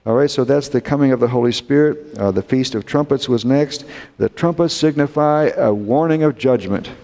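An American man teaching a Bible study class, unhurried, posing questions to his listeners and often clearing his throat. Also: medium pitch, warm tone. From United States. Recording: long hall reverb.

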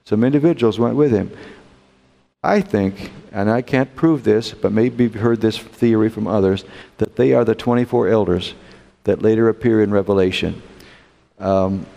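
American man, mellow low voice, preacher teaching a Bible lesson in a reverberant hall; unhurried, asks rhetorical questions. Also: creaky. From United States. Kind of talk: teaching a Bible study class.

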